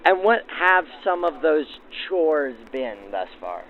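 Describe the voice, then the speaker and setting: A man's voice: a little high, big pitch swings, slow pace. Man announcing through a tinny vintage radio filter. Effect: 1940s radio filter. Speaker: host and interviewer of a train excursion show. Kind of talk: on-location interviews and descriptive narration in old-time radio manner. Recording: narrow phone-like vintage radio sound, music behind.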